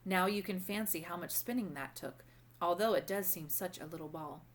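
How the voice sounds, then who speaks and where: A woman voicing a kindly, wise old grandmother in a storybook, gently and formally giving instruction. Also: fairly low pitch, normal speed, natural voice. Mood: gentle. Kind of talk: storybook read-aloud character voice.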